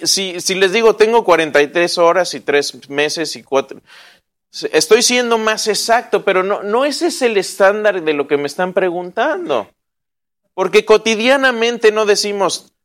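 A high-pitched man teaches the Bible in a relaxed class. He jokes and asks his listeners questions. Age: 40s. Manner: joking and chatty, asks the audience questions.

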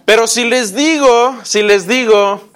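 A man's voice: very high voice, slow pace, a bit bright. A man, teaching a casual Bible class, joking and quizzing his listeners. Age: 40s. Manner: joking and chatty, asks the audience questions.

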